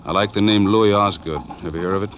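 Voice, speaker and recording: Man playing a very low-voiced, guarded suspect. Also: fairly even intonation, quick pace, lightly acted. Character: guarded, evasive male murder suspect. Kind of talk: 1940s-50s radio drama acting. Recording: muffled, noisy old radio recording.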